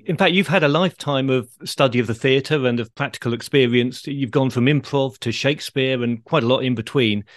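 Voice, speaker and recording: Man, podcast host, conversational interviewing, medium pitch, dry room-free sound. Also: a little fast, hardly any pauses, dry recording.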